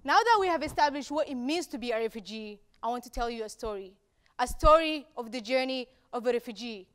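A young woman from Somalia delivers a prepared talk from a dark auditorium stage. Her voice sits high, and she leans on repeated phrases with measured pauses between them. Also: animated, clear, resonant tone. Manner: rhetorical repetition, deliberate pauses. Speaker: speaker at a campus talk event. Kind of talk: prepared public speech.

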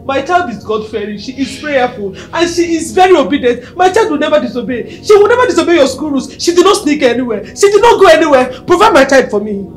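A woman's voice: high voice, sing-song, fast, hardly any pauses, faint music behind. An actress playing a frantic mother pleading for her missing daughter, crying out to God. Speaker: worried mother. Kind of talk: film drama acting.

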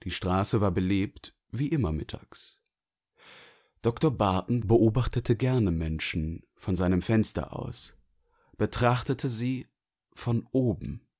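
Deeper male audiobook narrator. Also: takes it slowly, a little mellow.

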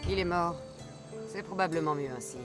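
A woman's voice: lowish voice, normal speed, over music, natural voice. An actress playing a tough, wry female mercenary hunter in a French game dub, dry and blunt. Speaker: video game voice actor.

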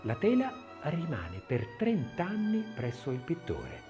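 A man reading a scripted literary audiobook essay as narrator, in a measured, expressive way. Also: fairly high pitch.

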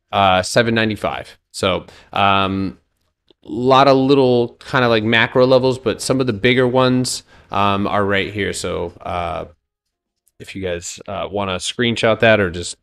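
A man's voice: fairly low pitch, gravelly, a bit bright. A man in his thirties talking through stock charts live, joking loosely with his chat between points. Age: 30s. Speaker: stock trading streamer. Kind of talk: casual live chart analysis.

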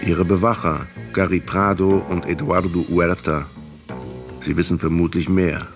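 A man playing a pensive middle-aged historian who narrates a radio drama in a husky, reflective, measured way. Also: deep voice, steady tone, music in the background. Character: pensive 39-year-old male historian questioning a captive.

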